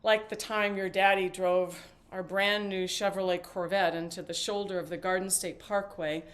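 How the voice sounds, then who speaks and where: A talkative middle-aged mother, played by a stage actress, speaks with theatrical emphasis and halting detours. She is evasive yet fiercely devoted, at a medium pitch. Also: little pitch movement, close to an everyday voice. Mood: rambling, indignant. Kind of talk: one-act stage play acting.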